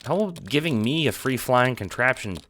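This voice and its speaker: A man playing an excitable, naive young prankster kid in an audio drama, casual, sweary and often incredulous. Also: mid-range voice, normal speed, background noise, close to an everyday voice. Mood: puzzled.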